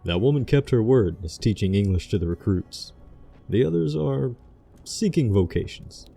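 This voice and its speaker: Man acting watchful male soldier, audiobook character, very gravelly, dark and husky, clipped, matter-of-fact. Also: on the low side, expressive, moderate pace, soft background music, exaggerated voice. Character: terse, watchful male soldier giving reports.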